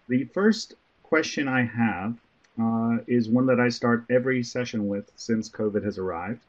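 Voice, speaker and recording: Irish-born man with a Southern American accent. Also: mid-range voice. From Ireland. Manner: conversational, many restarts. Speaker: webinar presenter, professor. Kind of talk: webinar presentation. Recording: muffled online call audio.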